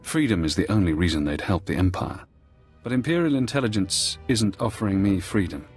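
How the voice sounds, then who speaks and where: A deep-voiced captive intelligence operative for a video game. He is calm, precise and clinically detached, explaining things in a measured way with music playing underneath. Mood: calm, sardonic. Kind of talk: video game dialogue acting. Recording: music behind the voice.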